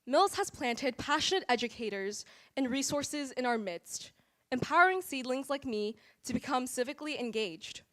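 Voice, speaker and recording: High-voiced teenage girl giving a formal speech. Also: animated, a bit bright. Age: teens. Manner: earnest, formal, measured delivery. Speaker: student award honoree. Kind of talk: prepared acceptance speech. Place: awards event hall.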